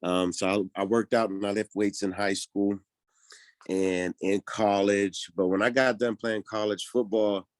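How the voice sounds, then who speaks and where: A man's voice: low voice, no echo. A man in his thirties from Pittsburgh, talking easygoingly as a podcast guest, with many ums and restarts. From Pittsburgh, United States. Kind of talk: casual interview talk.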